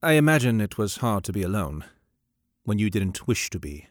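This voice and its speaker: Man reading a refined gentleman, gentle, measured, near his own voice. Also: fairly high pitch, big pitch swings, no echo, clean studio sound, voice pushed up.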